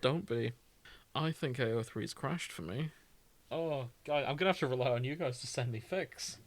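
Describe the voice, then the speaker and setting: A man's voice: medium pitch, moderate pace, no room sound. A man co-hosting a podcast in casual comedic chat, full of opinionated, mock-indignant banter. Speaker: podcast co-host.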